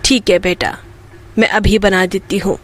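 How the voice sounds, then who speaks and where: Woman voicing a slow-talking poor old village mother. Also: medium pitch, fairly even intonation, pitched below the performer's own voice, close to an everyday voice. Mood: caring. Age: 60s. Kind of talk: Hindi bedtime moral story dialogue.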